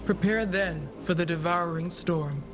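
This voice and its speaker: A grave, oracular seer played by a man from a fantasy video game. The voice is high for a male and delivers cryptic lines in solemn, measured phrases, with music clearly underneath. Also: natural voice.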